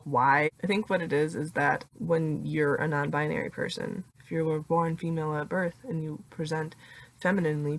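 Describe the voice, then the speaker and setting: A young adult woman with a deeper voice talking casually in a confessional vlog, full of "like" fillers and laughing often. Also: a bit bright. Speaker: vlogger.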